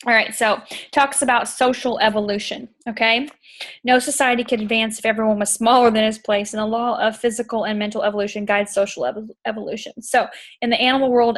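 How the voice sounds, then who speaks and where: A woman hosting a chat show, reading from a book and explaining it in an enthusiastic, emphatic way that repeats points, with a tickle in her throat. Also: fairly high pitch. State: throat tickle, occasional coughs. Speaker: podcast host.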